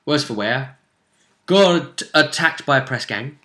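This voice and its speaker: A man with a very bright, forward voice talks as the game master of a tabletop role-playing game. His pitch sits in an ordinary male range. He recaps the session casually, rambling along and acting out bits of dialogue as he tells it. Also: animated, takes it slowly. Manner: rambling, acts out characters' lines as he recounts.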